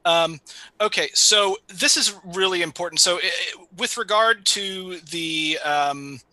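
A man with a naturally loud voice debates over a video call. His tone is bright and forceful, and he sits a little higher in pitch than most men. He argues with animated restarts. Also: no echo, rough recording. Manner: animated, fast arguing with frequent restarts. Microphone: video call microphone.

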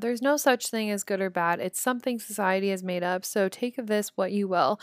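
A raspy, hoarse young woman in her twenties, hosting a motivational podcast in a chatty, breezy way with casual swearing. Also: medium pitch, no room sound, clean studio sound. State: hoarse from screaming. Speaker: podcast host.

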